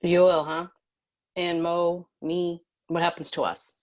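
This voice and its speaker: A tough female fighter, acted by a woman with a slightly low voice. She is sardonic, cool and challenging, in the manner of a drama table read. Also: little pitch movement, slow, darkish tone. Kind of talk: screenplay table read acting.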